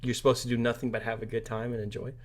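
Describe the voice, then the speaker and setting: A man's voice: medium pitch, little pitch movement, rapid-fire. A man co-hosting a podcast, giving casual film opinions in a blunt, slangy way. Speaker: podcast co-host. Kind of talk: casual film review chat.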